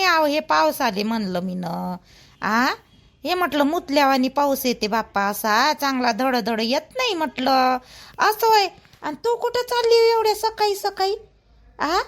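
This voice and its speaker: A woman's voice: high-pitched, animated, normal speed. A woman voicing a chatty, nosy but helpful older village housewife in a cartoon, speaking colloquial Vidarbha Marathi full of exclamations. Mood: curious, questioning. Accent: Varhadi Marathi, Vidarbha. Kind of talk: rural family cartoon dialogue.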